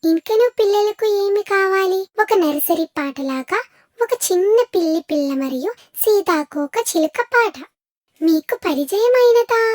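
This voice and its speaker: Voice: extremely high pitch, lively intonation, unhurried, no echo, studio quality. A childlike voice presenting nursery songs in scripted introductions, addressing children with questions.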